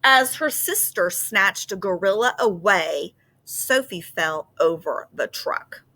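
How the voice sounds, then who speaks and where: Woman teacher, bright clear voice, patient explaining, expressive storybook reading to kids. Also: mid-range voice, takes it slowly. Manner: gentle, patient explaining with frequent "um" fillers. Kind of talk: explaining to children and reading a picture book aloud.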